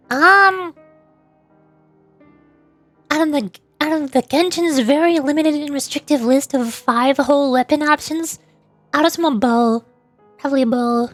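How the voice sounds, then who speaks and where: A woman streamer chatting in a playful, rambling way during a casual gaming livestream. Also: high voice. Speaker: streamer, VTuber. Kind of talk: casual gaming livestream chat with voiced dialogue.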